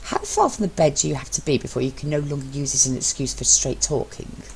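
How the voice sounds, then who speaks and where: A woman voicing an exasperated, bossy and sharp-tongued young man in an audio story. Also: low-pitched, animated, quick pace, creaky, slight background noise, poor audio. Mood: challenging. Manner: exasperated, cutting, foul-mouthed.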